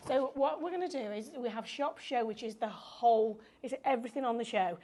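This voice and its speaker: A woman presenting a live craft shopping show, chatty and upbeat, explaining things step by step, her voice a little croaky. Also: on the high side, a little fast, warm tone. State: slightly croaky voice.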